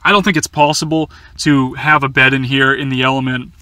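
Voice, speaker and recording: Young male vlogger in a small van, bright voice and a dry close sound, chatty with many fillers and restarts. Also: medium pitch, level tone, a little fast, smooth, no echo. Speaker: van-life vlogger answering viewer questions. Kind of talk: casual Q&A chat. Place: inside a small camper van.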